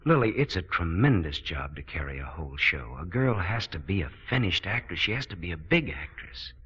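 A muffled 1940s radio recording of a regretful, gentle American theater director. His voice is very deep and a little rough. He speaks earnestly and haltingly, searching for words. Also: big pitch swings, exaggerated voice. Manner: halting, hesitant phrasing. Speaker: actor.

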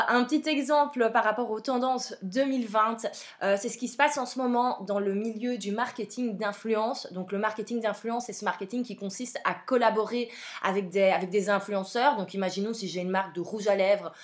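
A young woman with a Belgian French accent hosting a podcast, chatty and frank with plenty of fillers. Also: a little high. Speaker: podcast host. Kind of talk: casual advice podcast.

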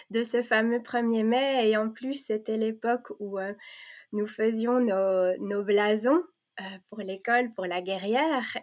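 A woman born in Provence and raised in Belgium, a guest talking about herself in a conversation, hesitant and earnest with many fillers and restarts. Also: mid-range voice. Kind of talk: casual conversation.

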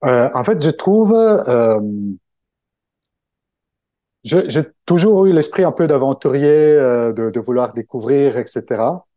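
A man's voice: mid-range voice, a little slow, warm tone, no echo. An Italian man speaking French as a second language with an Italian accent, talking through an interview with many fillers and restarts. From Italy. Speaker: guest, book-summary creator. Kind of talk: casual interview conversation.

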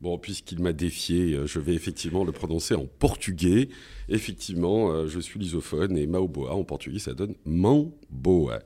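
Low, mellow-voiced podcast host. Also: male voice, moderate pace. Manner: formal, hesitant with fillers. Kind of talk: podcast wrap-up.

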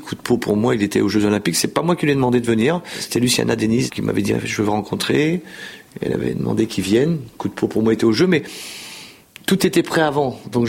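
Gravelly, husky man in his fifties. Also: medium pitch, a little mellow. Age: 50s. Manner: rambling, repeats words, many fillers. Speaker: show jumping rider. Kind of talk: casual interview storytelling.

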